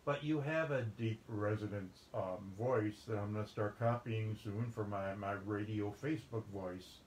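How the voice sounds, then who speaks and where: A man's voice: fairly low pitch, normal speed. Guy asking questions, kinda rambly, echoey room, some faint noise behind. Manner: chatty, repeats words, laughs often. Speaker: interviewer. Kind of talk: casual interview.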